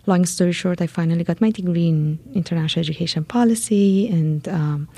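A woman from Iran, a guest on a radio interview, speaking softly and earnestly with many pauses. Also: fairly low pitch, breathy edge, dry recording. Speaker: guest, documentary platform co-founder.

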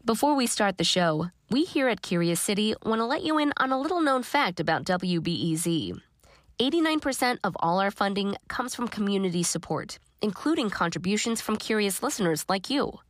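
A woman's voice: mid-range voice, sing-song, fairly quick, dry recording, clean studio sound. A woman show host reading a scripted announcement. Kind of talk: scripted sponsorship appeal.

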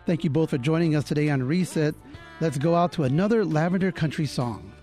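A man hosting a radio interview, asking guests brisk questions and moving the show along. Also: a little high, warm tone, faint music behind. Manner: brisk, steers the conversation. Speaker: radio host.

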